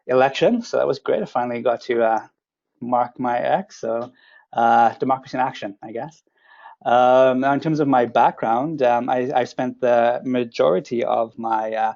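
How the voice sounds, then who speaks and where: A man, not a native English speaker, hosting a training webinar, talking haltingly with many fillers and restarts over lo-fi web audio. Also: medium pitch, creaky, a little mellow. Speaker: training program coordinator, webinar host. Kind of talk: hesitant webinar presenting.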